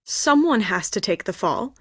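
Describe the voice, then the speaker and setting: A woman voicing a coldly gloating, scheming video game villainess, deliberate and smug, at times dropping to a breathy hush. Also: mid-range voice, big pitch swings, no echo, clean studio sound. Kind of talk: dramatic video game voice acting.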